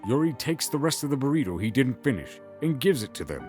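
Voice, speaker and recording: A man's voice: medium pitch, very animated, fast talker, darkish tone, soft background music. A man narrating a scripted fantasy audio drama in the present tense.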